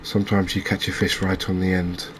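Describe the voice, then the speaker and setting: A man giving quiet, unscripted ASMR-style game commentary, unhurried and thinking aloud with pauses. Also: low voice, completely flat delivery, a little hiss or hum. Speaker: gaming video host.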